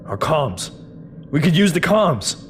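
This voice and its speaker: A man's voice: fairly high pitch, sing-song, takes it slowly, slight background noise. A man playing a resourceful but self-doubting soldier in a sci-fi drama, informal and subdued. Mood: urgent hope. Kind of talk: sci-fi audio drama acting.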